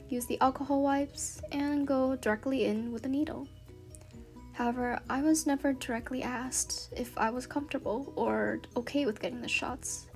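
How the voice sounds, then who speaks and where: High-voiced young woman reading an essay. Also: mellow. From Canada. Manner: measured, earnest reading. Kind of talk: read-aloud personal essay.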